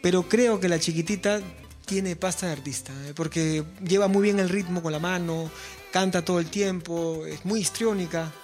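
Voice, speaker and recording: High-voiced man, eager chat. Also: fairly even intonation, normal speed, darkish tone, faint music behind. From Peru. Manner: earnest, repeats words, many fillers. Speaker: musician guest. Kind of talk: casual interview chat.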